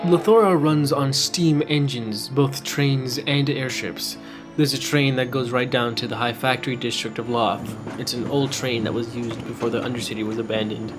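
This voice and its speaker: Medium-pitched man storyteller over music. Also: steady tone, moderate pace. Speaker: co-narrator of a fiction podcast. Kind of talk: scripted conversational storytelling.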